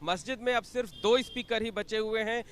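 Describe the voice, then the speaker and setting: A male field reporter delivers a news piece in a high, bright, forceful voice. Faint outdoor noise sits behind him. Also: fairly quick. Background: faint outdoor street noise.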